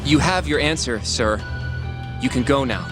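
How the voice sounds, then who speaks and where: A man's voice: mid-range voice, normal speed, natural voice. Actor as earnest male attorney over music. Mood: firm. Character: earnest, polite but firm young male attorney. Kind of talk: English game dub acting.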